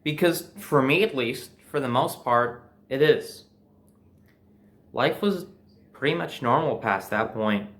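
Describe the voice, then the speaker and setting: A man's voice: medium pitch, takes it slowly, fairly bright tone. Young American man telling a story casually. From New Jersey, United States. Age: young adult. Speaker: storyteller, host.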